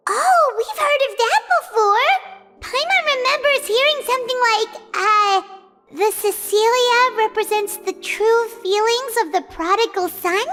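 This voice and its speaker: A woman voicing a tiny, excitable floating fairy companion who chatters in a childlike, bubbly way and calls herself by name. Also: extremely high pitch, unhurried, music in the background. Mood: inquisitive. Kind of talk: game character dialogue.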